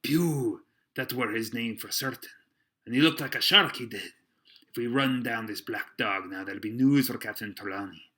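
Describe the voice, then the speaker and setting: A man's voice: lowish voice, moderate pace, sharp tone, husky. Man playing a blustering, sly old-salt pirate innkeeper. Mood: scheming, excited. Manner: folksy seafaring talk, blustering. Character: jovial sly one-legged pirate innkeeper, loud and domineering.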